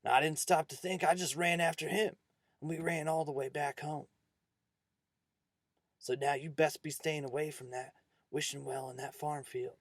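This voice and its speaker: Stern country farmer, played by a male storyteller, haunted and folksy, husky creaky voice, campfire tale. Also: a little high, steady tone, moderate pace, long pauses, dry recording, clean studio sound. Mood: urgent warning. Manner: ominous, folksy. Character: gruff old farmer warning schoolboys, haunted and stern.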